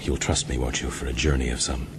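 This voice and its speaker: A really low voiced ship's officer, earnest and polite, radio play with sea ambience noise behind. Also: male voice, flat delivery. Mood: urgent, resolute. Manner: measured, courteous, hushed and purposeful. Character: earnest, resolute ship's mate, educated man plotting escape. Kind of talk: radio drama acting. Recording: audio drama with clear ambient noise.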